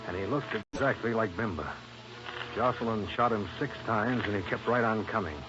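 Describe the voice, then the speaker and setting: An actor playing a wry, world-weary investigator, narrating a 1950s radio detective drama in a dry, hard-boiled way. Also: male voice, fairly low pitch, normal speed, music in the background, natural voice. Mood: grim. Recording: muffled old radio broadcast recording.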